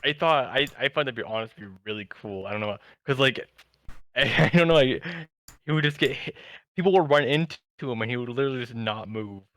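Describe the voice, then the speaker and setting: A man's voice: medium pitch, brisk, fairly bright tone, no echo. A young American man co-hosting a podcast, chatting casually with friends. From United States. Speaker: podcast co-host. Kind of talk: casual chat among friends.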